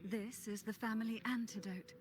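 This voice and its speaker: Woman voicing a composed noblewoman, firm measured delivery, game music behind. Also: fairly high pitch, steady tone, noisy background, lightly acted. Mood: resolute. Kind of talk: game cutscene voice acting.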